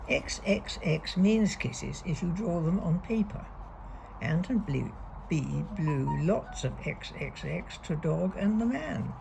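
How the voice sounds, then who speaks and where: Slow, creaky-voiced woman reading a children's storybook. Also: lowish voice, slight background noise, poor audio. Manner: deliberate, expressive read-aloud with emphasised key words. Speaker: storybook reader. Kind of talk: reading a young children's picture book aloud.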